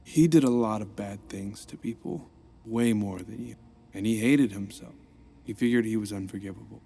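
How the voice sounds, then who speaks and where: An earnest reformed supervillain. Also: male voice, lowish voice, moderate pace, gravelly. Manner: gentle, earnest, encouraging. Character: earnest reformed supervillain, now a male counselor. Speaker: rehab counselor and narrator. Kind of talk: audio drama acting.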